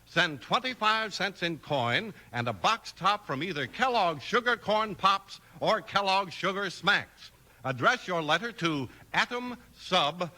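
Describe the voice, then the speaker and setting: A male 1950s radio announcer pitching to children with emphatic, excited salesmanship.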